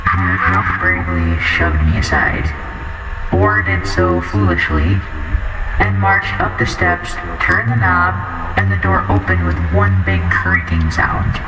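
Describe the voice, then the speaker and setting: A man's voice: low voice, animated, slow, background noise. A man playing a haunted, brooding outcast who recounts a terrifying night in a first-person horror audio drama. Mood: uneasy, regretful. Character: haunted outcast male friend recounting a terrifying house incident. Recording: roomy distant voice under loud music.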